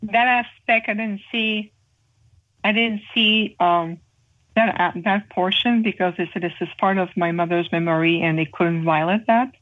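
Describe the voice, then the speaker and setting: A woman from Montreal with a French Canadian accent, speaking earnestly as an interview guest, with measured pauses and fillers. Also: mid-range voice, steady tone. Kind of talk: interview guest describing spiritual experiences. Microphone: phone call. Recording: tinny phone line.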